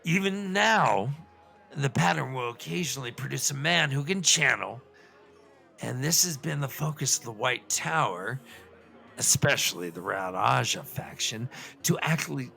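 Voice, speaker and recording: A man acts out an excitable professor puppet in a comic show, lecturing on fantasy lore. The voice is gravelly and forceful at a middling pitch, wandering into asides and stumbling over words, with faint music behind it. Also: unhurried, exaggerated voice. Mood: earnest, rambling. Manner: rambling, professorial, full of digressions and stammers.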